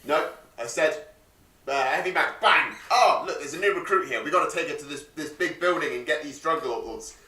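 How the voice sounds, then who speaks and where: A man rushing through an action movie remake in an improv scene, narrating and acting it out in a frantic, jokey way. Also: on the high side, bright, forceful tone. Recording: echoey room, far from the mic.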